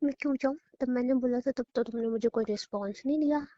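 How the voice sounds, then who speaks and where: A woman's voice: a little high, fast, mellow. A young woman bantering playfully with her boyfriend in a casual phone call. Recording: dull lo-fi call recording.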